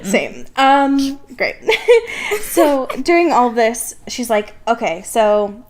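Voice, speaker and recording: A woman co-hosting a podcast, casually recapping a book in a slangy, sarcastic, animated way. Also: fairly high pitch, takes it slowly. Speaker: podcast co-host.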